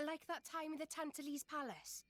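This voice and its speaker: A woman's voice: high-pitched, normal speed, a bit bright, dry recording. A woman voicing a plucky, determined young noblewoman in a video game, informal and forthright. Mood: friendly.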